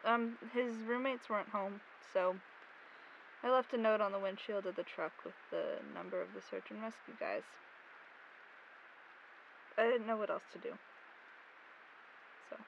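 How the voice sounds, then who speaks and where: A woman's voice: medium pitch, animated, measured pace, creaky, long pauses. A woman acting an uneasy, well-meaning road-trip companion in an audio drama, speaking hesitantly. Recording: muffled, vehicle noise behind.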